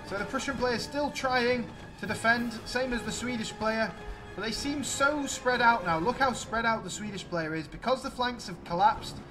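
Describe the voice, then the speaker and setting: A man with a high-pitched voice commentates a strategy game battle over music. He speaks in exclamatory play-by-play, talking straight to his viewers.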